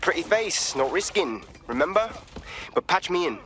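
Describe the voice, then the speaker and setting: A wry schemer of a hacker, talking in an easy, slangy way. It is game cutscene dialogue with clear ambient noise behind it. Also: male voice, a little high, very animated, moderate pace, fairly bright tone. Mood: wry, scheming. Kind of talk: video game cutscene acting.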